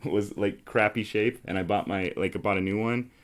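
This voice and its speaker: Casting: male tabletop podcast host, fairly low register, conversational and talkative. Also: normal speed. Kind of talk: casual board game chat.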